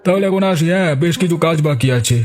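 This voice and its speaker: A man's voice: fairly high pitch, fast talker, over loud music, natural voice. A man voicing a polite, courteous village schoolmaster in a cartoon story. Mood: pleased. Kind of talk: cartoon voice acting.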